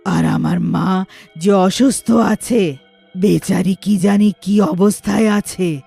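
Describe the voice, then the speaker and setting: A woman voicing a vengeful young witch ghost with loud, dramatic threats and wails for a moral horror story. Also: mid-range voice, steady tone, slow pace, vocal fry, breathy edge, faint music behind, full character voice. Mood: furious, threatening.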